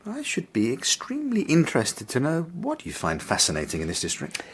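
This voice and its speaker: A man's voice: mid-range voice, huge pitch swings, fairly quick, fairly bright tone, slightly rough, full character voice. An actor playing a prim, earnest headmaster in a radio drama, formal and easily flustered as he tells his story. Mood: awkwardly hopeful. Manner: formal, proper, awkward. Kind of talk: radio drama narration and dialogue.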